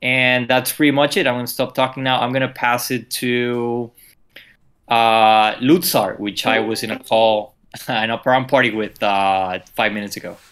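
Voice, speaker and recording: A man's voice: mid-range voice, little pitch movement, moderate pace, a bit bright. A man talking casually on a community video call, full of restarts and fillers. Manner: hesitant, many restarts. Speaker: community member doing onboarding.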